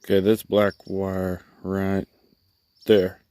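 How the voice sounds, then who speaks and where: A man talks casually through a hands-on demonstration. His delivery is folksy and laid-back, halting as he goes, like an unscripted hobbyist vlog. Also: sits low, monotone, dark tone, smooth, dry recording. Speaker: hobbyist vlogger showing his truck radio install. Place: in and around a pickup truck in a driveway.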